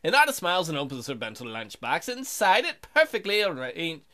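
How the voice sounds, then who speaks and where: A woman's voice: on the low side, sing-song, brisk, fairly bright tone. A woman hosting a let's play, reading aloud and commenting casually in a playful, sarcastic way.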